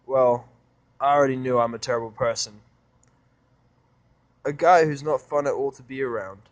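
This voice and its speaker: Mid-pitched man, gruff, sarcastic, defensive, exasperated, fan audio drama acting. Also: normal speed, lo-fi sound, close to an everyday voice. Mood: deadpan. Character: grumpy, defensive, sarcastic man with a hidden conscience.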